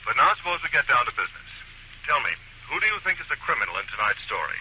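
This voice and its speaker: Guy acting as smooth american detective man, 1940s radio mystery, very animated, polite n teasing, hissy old recording. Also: mid-range voice, very fast, highs cut off, lightly acted. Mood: urbane, confident. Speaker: lead detective and quiz host.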